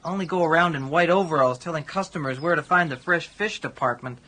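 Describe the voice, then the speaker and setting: A man's voice: a little high, a little fast, sharp tone. An actor playing an easygoing, good-hearted rich man with an American accent and a slangy, breezy manner in a radio comedy drama. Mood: cheerful, easygoing.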